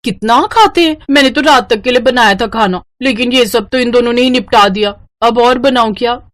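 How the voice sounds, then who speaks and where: A woman voicing a blunt, flabbergasted housemaid who complains, in an animated story. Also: a little high, moderate pace, dry recording, studio quality, pitched above the performer's own voice, lightly acted. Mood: appalled. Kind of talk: animated moral-story cartoon voice acting.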